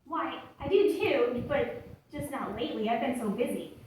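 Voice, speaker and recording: A woman's voice: sits high, expressive, moderate pace, a little mellow. Distant, echoey woman as a sheepish lazybones puppet. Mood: defensive. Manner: hesitant, defensive, naive. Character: lazy, sheepish churchgoer full of excuses. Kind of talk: church puppet show dialogue. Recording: echoey room, distant mic, faint noise.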